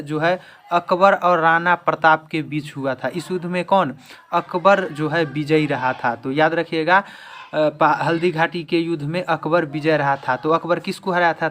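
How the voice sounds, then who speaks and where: A man's voice: a little high, a bit bright. A man giving a quiz-style history lesson as an exam-prep teacher, restating each question and answer.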